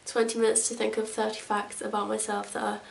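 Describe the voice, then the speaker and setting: A woman's voice: medium pitch, monotone, fast talker, creaky, slight background noise. A woman in her twenties from England, chatting casually to camera as a vlogger, rambling with lots of fillers. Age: 20s. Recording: echoey room, mic at a distance.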